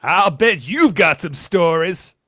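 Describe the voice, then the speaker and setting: A woman acts out a courteous traveling man in an amateur western radio drama. The delivery is slow and very lively in pitch, with a bright, forward tone. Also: no room sound, clean studio sound, full character voice. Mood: friendly, curious. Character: male starship captain posing as polite drifter. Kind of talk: amateur radio play acting.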